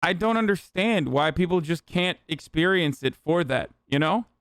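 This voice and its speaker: Profane ranting streamer, crisp dry voice. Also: male voice, fairly high pitch, lively intonation, moderate pace, a bit bright, no echo. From Turkey. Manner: loose, profane, emphatic ranting. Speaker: streamer reacting to a video. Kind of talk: casual livestream commentary.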